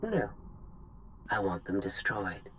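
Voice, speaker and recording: A man playing a cold, superior rogue droid in a video game, speaking in formal, measured phrases. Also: deep voice, very animated, fairly bright tone, a little hiss or hum, heavily acted. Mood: cold, resolute. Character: cold, self-freed rogue droid hungry for freedom. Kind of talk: dramatic video game cutscene acting.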